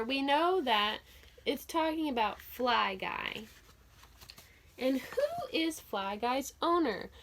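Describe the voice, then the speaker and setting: A woman's voice: high voice, a bit bright. A woman teaching young children through a read-aloud, telling the story expressively and putting simple, encouraging questions to her listeners.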